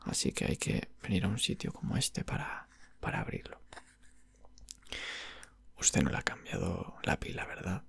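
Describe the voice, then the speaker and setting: Breathy, whispering Spanish shop clerk played by a man. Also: low-pitched, very animated, a bit bright, no room sound, full character voice. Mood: calm, explanatory. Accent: Spain. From Spain. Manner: unhurried, murmuring, hesitant phrasing. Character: patient, polite male video game shop clerk. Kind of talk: ASMR roleplay, whispered and soft-spoken. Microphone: close microphone.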